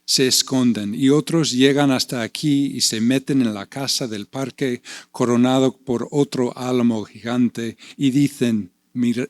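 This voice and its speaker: A slow-speaking man reading aloud and reflecting. A Spanish speaker with a relaxed, unhurried delivery, often repeating words as he searches for them. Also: medium pitch. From United States. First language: English. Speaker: panelist and poet. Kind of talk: panel discussion and poetry reading.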